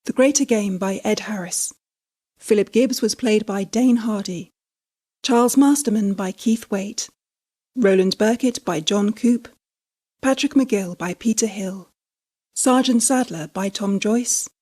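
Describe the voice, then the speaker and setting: A woman's voice: mid-range voice, a little slow, dark tone. A woman announcer reading scripted closing credits for an audio drama. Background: music.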